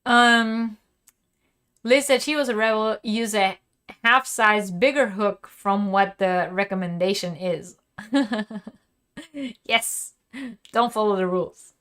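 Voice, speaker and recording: A woman hosting a live crochet lesson, talking casually with her viewers and laughing often. Her voice is wearing thin and she stops to cough and clear her throat. She talks in loose, chatty runs with plenty of fillers. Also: mid-range voice, slow, pauses often, fairly bright tone. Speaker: crochet teacher and channel host. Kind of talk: live-streamed craft lesson, answering chat.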